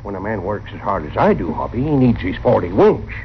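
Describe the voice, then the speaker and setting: An actor playing a sleepy, long-suffering cowboy sidekick in a radio western, with an American Western drawl, stammering and grumbling in a befuddled way. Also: male voice, fairly low pitch, big pitch swings, a little fast. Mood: exasperated. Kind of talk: old-time radio western acting. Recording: muffled, noisy old radio recording.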